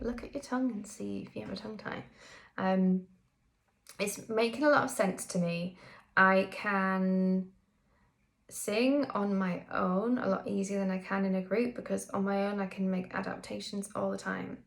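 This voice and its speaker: A woman's voice: medium pitch, gravelly. A woman singer and vocal coach from the UK talking candidly in a casual vlog, with frequent ums and self-corrections.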